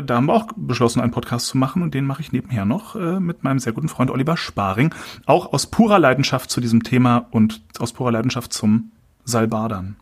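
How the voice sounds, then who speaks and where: A man from Berlin, a musical performer, answering interview questions remotely in a hesitant, self-mocking way with many filler sounds. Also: medium pitch. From Berlin, Germany. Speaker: podcast guest, musical performer. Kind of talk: casual interview chat. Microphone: remote call audio.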